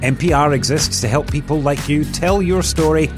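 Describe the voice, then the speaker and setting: A man's voice: a little high, normal speed, over music. A man reading a scripted radio station promo in an upbeat way. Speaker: station announcer.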